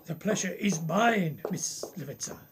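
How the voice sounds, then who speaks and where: An actor playing an older male professor in a radio play, genial and probing, with formal, measured old-world courtesy. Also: sits high, expressive, noisy background. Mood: courteous, concerned.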